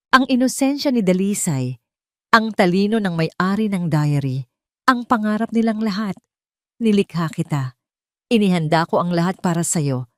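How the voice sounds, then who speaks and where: A woman voicing a calm, deranged male kidnapper in a storybook, sweetly menacing and deliberate, speaking with obsessive conviction. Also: fairly low pitch, no echo, studio quality, natural voice. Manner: slow, deliberate, full of conviction.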